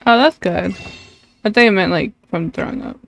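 A woman's voice: medium pitch, normal speed, gravelly. A young adult woman chatting casually on a game livestream, scattered and muttering to herself. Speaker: streamer. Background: faint game music.

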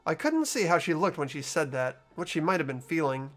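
Man playing an earnest teenage boy, high lively voice. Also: fairly quick. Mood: subdued, reflective. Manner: hesitant, earnest delivery. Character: earnest, sympathetic, unsure teenage schoolboy. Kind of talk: amateur video game voice acting. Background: background music.

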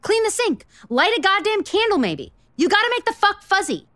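A woman's voice: extremely high pitch, very animated, moderate pace, fairly bright tone, no echo, heavily acted. An actress playing a blunt, exasperated single woman in a romantic comedy audio drama, sarcastic, emphatic and profane. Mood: indignant ranting. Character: blunt, exasperated, foul-mouthed single woman, sharp-tongued cynic.

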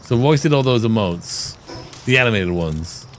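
Casting note: man in his twenties, low, animated voice, easy gaming-stream banter, slight noise behind. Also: normal speed. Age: 20s. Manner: chatty, laughs often, thanks viewers. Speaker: streamer host. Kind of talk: casual gameplay chat.

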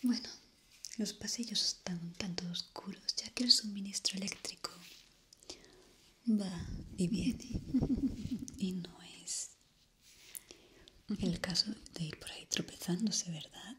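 A young woman from playing a polite, eerie hotel receptionist in an ASMR roleplay, formal and hushed. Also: mid-range voice. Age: young adult. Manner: formal, slow, hushed and courteous.

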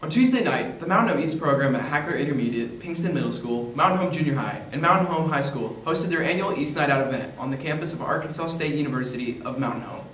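Teen anchor at a school news desk, brisk delivery, heard from a distance. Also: male voice, on the high side, expressive, fairly bright tone, reverberant. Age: teens. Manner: brisk read-aloud delivery.

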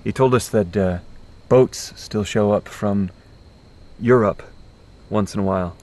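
A man's voice: fairly low pitch, unhurried, a little mellow, lightly acted. A man playing an earnest, intense young man in an audio drama, solemn and persuasive as he rallies his companions. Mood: reflective.

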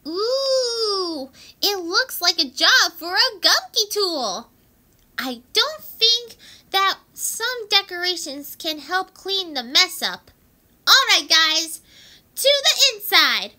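A young-sounding female amateur voicing an excitable, bossy, cute cartoon girl with dramatic, exclamatory delivery. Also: extremely high pitch, slow, heavily acted. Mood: eager. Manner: exclamatory, dramatic, over-enunciated. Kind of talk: amateur voice acting of cartoon lines.

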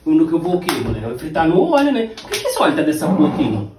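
A man's voice: a little high, wildly swooping pitch, fast. A man in his thirties with a Brazilian accent, chatting and joking in slang as he demonstrates home cooking to camera. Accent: Brazilian Portuguese. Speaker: home cook and vlog host. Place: small home kitchen, indoors. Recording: echoey kitchen, clattering dishes and noise.

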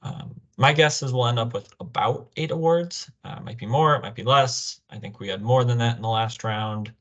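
A man's voice: mid-range voice, moderate pace, highs cut off. A young man explaining a program as a presenter in an online orientation, speaking over a video call. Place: indoor room, on a video call. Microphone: video call microphone.

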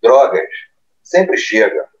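A man's voice: mid-range voice, big pitch swings, slow. A man playing a terse, businesslike drug boss in an audio drama. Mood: guarded. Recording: dull lo-fi sound.

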